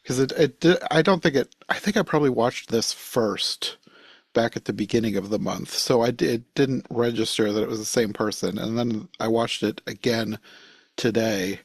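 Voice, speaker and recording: A man's voice: lowish voice, normal speed, slightly rough, no echo, studio quality. A man chatting casually as a panelist on a podcast, giving his own impressions. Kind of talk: casual chat.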